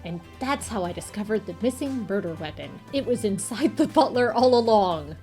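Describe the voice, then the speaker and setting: A woman plays a smug heroine of a TV murder mystery in a visual novel game. She declaims in a theatrical, melodramatic way at a medium pitch, with music clearly playing behind her. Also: expressive, normal speed, mellow, natural voice. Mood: triumphant.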